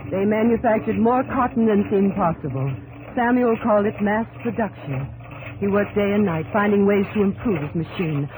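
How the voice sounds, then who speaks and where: A woman's voice: mid-range voice, normal speed, noisy background, natural voice. An actress playing a devoted wife who looks back on life with her husband, in the style of 1950s radio drama narration. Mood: reflective. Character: devoted wife of a mill pioneer, reminiscing. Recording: old 1950s radio broadcast, music behind.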